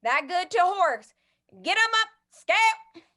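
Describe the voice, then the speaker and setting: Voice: very high-pitched, very animated, slow pace, sharp tone, full character voice. An actor playing a terse, stoic male scout who speaks broken English in an old-time western radio drama.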